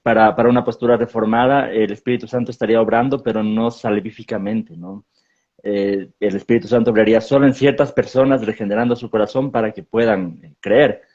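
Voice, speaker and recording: A man, a pastor, explaining theology in a measured way in a panel discussion, often repeating words as he thinks. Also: fairly low pitch, fairly even intonation, darkish tone, lo-fi sound.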